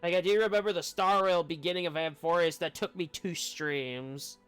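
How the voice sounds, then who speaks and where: A man's voice: on the high side, steady tone, normal speed, a bit bright, clear, resonant tone. A man giving casual, rambling commentary as a game streamer, often breaking off mid-sentence. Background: faint game music.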